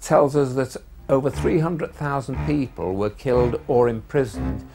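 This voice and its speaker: A man's voice: mid-range voice, measured pace, vocal fry, dark tone, faint music behind. A man speaking seriously and informatively in an interview.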